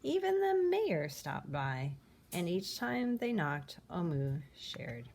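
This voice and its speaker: Female picture-book narrator, slightly low register, expressive delivery with dramatic emphasis. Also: takes it slowly, vocal fry, a little hiss or hum. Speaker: storybook reader. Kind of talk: expressive read-aloud of a children's picture book.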